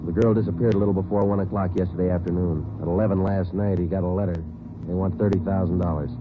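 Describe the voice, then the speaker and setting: An actor playing a terse, steady police detective sergeant who narrates the case in a clipped, matter-of-fact 1950s radio drama style. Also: male voice, low-pitched, quick pace, natural voice. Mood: businesslike. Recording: tinny old radio broadcast with hiss.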